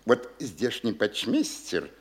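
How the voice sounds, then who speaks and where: An actor playing a fawning, sly official who gossips and tells tales in an obsequious way in a classic radio play. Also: male voice, lowish voice, wildly swooping pitch, takes it slowly, husky, exaggerated voice. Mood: tattling. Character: fawning middle-aged male official, sly telltale.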